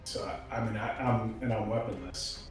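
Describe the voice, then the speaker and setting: A man's voice: lowish voice, fairly even intonation, moderate pace. A man chatting casually as a player in a tabletop roleplaying game, hesitant and thinking aloud. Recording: very echoey room, music behind.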